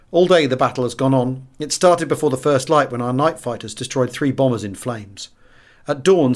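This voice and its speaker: A man narrating a wartime memoir as a scripted audiobook, measured and expressive, letting phrase endings fall hushed. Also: mid-range voice.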